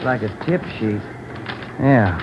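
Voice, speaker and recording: An actor playing a low-key police detective partner in a 1950s radio crime drama. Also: male voice, animated. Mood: laconic. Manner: slow, low-key, understated. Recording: dull lo-fi old radio recording with noise.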